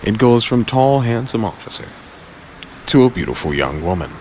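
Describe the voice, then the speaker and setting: A man narrating an audio drama in a suspenseful style, with deliberate phrasing and dramatic pauses. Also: medium pitch, big pitch swings, a little mellow, slight background noise. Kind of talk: dramatic scripted story narration.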